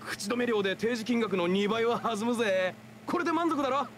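An actor voicing a rough, greedy young male thug in an anime-style game, brusque and scornful. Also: extremely high pitch, animated, fairly quick, crowd noise. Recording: game music behind.

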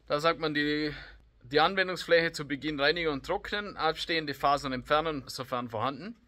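A man's voice: fairly high pitch, pushed and bright. A man, casually explaining a repair job in his garage workshop, with hesitant fillers. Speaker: DIY video host. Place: home garage workshop, indoors. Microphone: camera mic, close then farther as he works on the seat.

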